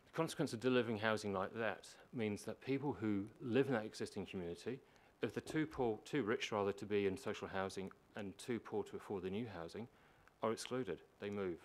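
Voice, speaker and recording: Low-voiced man giving a public submission. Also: fairly quick, hardly any pauses. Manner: explanatory, emphatic repetition. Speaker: housing organisation manager presenting to a council. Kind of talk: prepared public submission speech. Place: council meeting chamber. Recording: meeting room with faint background noise.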